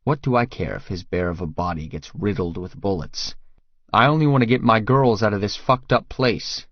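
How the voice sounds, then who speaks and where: Lower-pitched man narrating a novel. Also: moderate pace, no room sound, dull audio. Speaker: audiobook narrator. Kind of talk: scripted first-person fiction narration.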